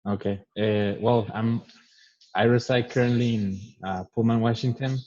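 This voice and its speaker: A man's voice: mid-range voice, steady tone, a little mellow. A man from Colombia, introducing himself hesitantly on a video call. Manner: hesitant, halting. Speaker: engineer. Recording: dull, lo-fi call audio.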